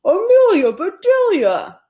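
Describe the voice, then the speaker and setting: A woman's voice: extremely high pitch, wildly swooping pitch, slow, dark tone, lo-fi sound, pitched above the performer's own voice, exaggerated voice. A woman voicing an exasperated, bossy grown man in a children's storybook, commanding and emphatic.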